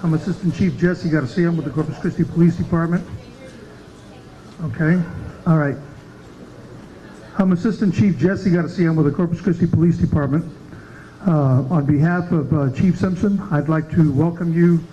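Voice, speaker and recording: Higher-pitched man giving a halting formal welcome. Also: dark tone, dull audio, a little hiss or hum. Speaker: assistant police chief opening a meeting. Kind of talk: formal welcome address at town hall. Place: school hall, public meeting.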